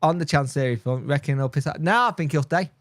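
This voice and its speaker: A man's voice: on the high side, fast talker, dry recording, studio quality. A man with a mild speech impediment, tired, hosting a casual live stream and chatting bluntly through viewer comments with lots of fillers and restarts. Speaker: football fan channel host.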